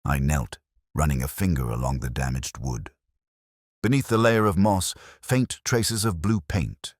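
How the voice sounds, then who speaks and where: Very deep, brooding detective voiced by a man. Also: husky, dry recording, clean studio sound, full character voice. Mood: somber, reflective. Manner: solemn, measured, literary. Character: melancholy, brooding male English police inspector narrating his case. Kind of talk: scripted first-person radio drama narration.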